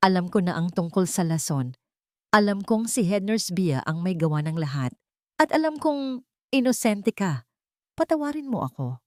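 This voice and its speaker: A woman's voice: mid-range voice, sing-song, normal speed, long pauses, lightly acted. A woman acts out an earnest romantic hero, a protective CEO in an audio love story. The delivery is emotional and urgent, pleading with feeling, recorded dry and without echo. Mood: remorseful.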